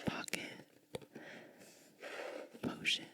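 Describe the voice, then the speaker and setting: A woman whispering in soft-spoken ASMR style, gently and unhurriedly explaining each step close to the microphone. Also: extremely slow. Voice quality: breathy whisper. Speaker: ASMR content creator.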